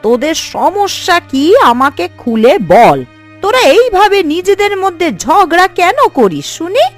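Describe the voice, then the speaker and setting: A woman's voice: very high voice, very animated, unhurried, faint music behind, exaggerated voice. A woman voicing a bossy, affectionate elderly village aunt in a cartoon, with an emphatic, scolding, colloquial manner. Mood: firm, motherly. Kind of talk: Bengali cartoon story dubbing.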